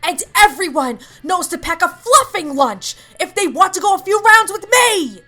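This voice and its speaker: A woman's voice: very high-pitched, big pitch swings, moderate pace, bright, forceful tone, exaggerated voice. A woman voicing a sugary fairy queen with a violent temper, sweetly condescending until she shrieks, in a comic fan dub. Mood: furious.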